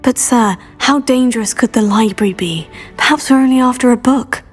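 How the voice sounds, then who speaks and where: A woman's voice: a little high, normal speed, breathy edge, faint music behind. A woman playing a plucky, eager teenage wizard student in a video game, polite and self-assured. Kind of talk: video game cutscene acting.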